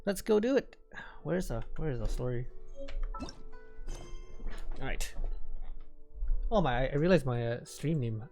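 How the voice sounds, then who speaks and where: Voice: mid-range voice, very animated, measured pace, creaky, pauses often. A game streamer giving casual live commentary, muttering and repeating phrases to themselves while playing. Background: game music.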